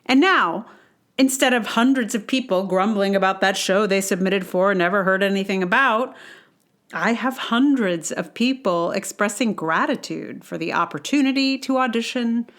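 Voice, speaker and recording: A woman's voice: medium pitch, moderate pace, a bit bright. A woman hosting her own podcast, sharing personal reflections in a conversational, wry and self-deprecating way. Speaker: podcast host and audio drama producer. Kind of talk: personal essay read aloud, then casual aside.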